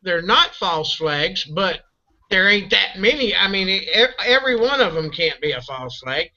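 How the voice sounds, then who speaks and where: Teasing amused man, high bright voice, lively pitch, lo-fi call sound. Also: unhurried. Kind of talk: casual online voice chat.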